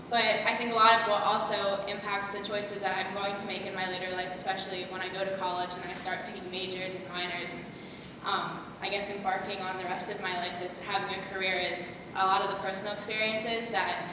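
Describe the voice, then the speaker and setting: A teenage young woman, a student moderator, informally putting a question to a panel. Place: school theater stage. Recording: echoey hall, distant, noisy rumble.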